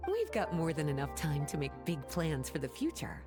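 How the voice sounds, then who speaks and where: A woman's voice: deep voice, wildly swooping pitch, normal speed. Teasing grown-up librarian lady, video game, languid n flirty, swoopy intonation, music behind. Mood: pleased. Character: teasing, unhurried adult woman librarian and researcher. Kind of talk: video game character acting.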